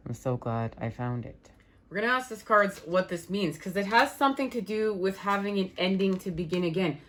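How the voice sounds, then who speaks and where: A woman giving a spiritual tarot reading, her voice worn and fading, speaking in slow, soothing, repetitive phrases. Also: mid-range voice, animated, fairly bright tone. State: losing her voice. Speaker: tarot reader.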